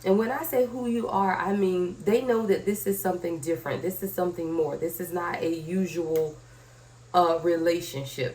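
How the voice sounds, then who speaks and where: A woman's voice: lowish voice, a little mellow. A woman hosting a conversational tarot reading, her voice slightly scratchy from a cold, addressing viewers directly and checking in with short tag questions. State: sick with a cold. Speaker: tarot reader, channel host.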